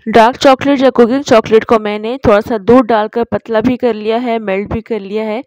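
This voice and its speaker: A woman's voice: fairly high pitch, steady tone, fairly quick, a little mellow, dry recording, dull audio. A woman narrating a recipe tutorial as a voice-over, explaining each step in an instructive way. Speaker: cooking channel host.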